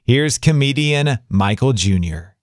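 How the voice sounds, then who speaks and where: A man's voice: mid-range voice, lively intonation, measured pace, no room sound, clean studio sound. A man presenting links between programs as a radio host.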